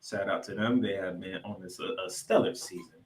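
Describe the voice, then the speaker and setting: A man's voice: low-pitched, brisk, a little hiss or hum. Echoey male sports podcast host. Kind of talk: excitable college football recap commentary. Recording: echoey room, music underneath.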